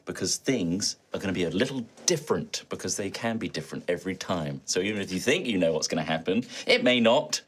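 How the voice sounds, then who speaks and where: A man's voice: low-pitched, expressive, fast, few pauses, fairly bright tone, a little hiss or hum. A man hosting and game mastering a tabletop game, switching between jokey casual chat and narration. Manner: playful, jokey.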